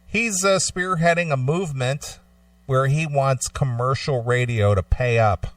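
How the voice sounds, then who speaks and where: Male talk radio host, blunt and conversational, mid-pitched, dry sound. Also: a little slow, no room sound. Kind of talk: casual radio talk, reading an article aloud. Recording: slightly distant microphone, dry sound.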